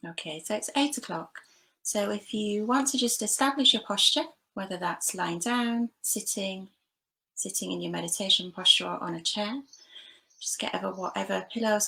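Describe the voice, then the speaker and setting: A woman leading a guided body scan meditation, giving gentle, unhurried instructions with long pauses between phrases. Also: mid-range voice, gravelly, poor audio. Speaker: meditation teacher.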